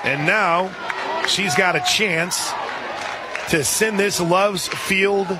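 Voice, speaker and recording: A man doing live radio play-by-play of a softball game in a conversational way, with folksy asides. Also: sits high, drawn-out words. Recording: crowd noise and music behind. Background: ballpark crowd and music.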